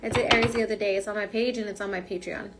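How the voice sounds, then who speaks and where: A woman's voice: medium pitch, steady tone, racing, heavy background noise. A woman giving a casual, rambling tarot reading with lots of fillers, her voice stuffy from a cold. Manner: chatty, rambling, frequent fillers. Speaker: tarot reader.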